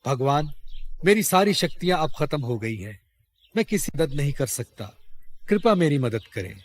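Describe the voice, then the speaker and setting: A man's voice: a little high, sing-song, normal speed. A man voicing a kind, sorrowful magical tree that pleads earnestly in a children's story. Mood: pleading, sorrowful.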